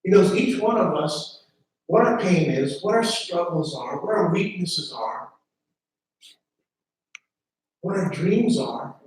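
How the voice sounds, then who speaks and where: Man, pastor, earnest sermon delivery, stumbles and repeats openings; heard from afar, boomy reverberant room. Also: animated, unhurried, a little hiss or hum. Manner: earnest, repeats words when starting phrases. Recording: very echoey room from a distance.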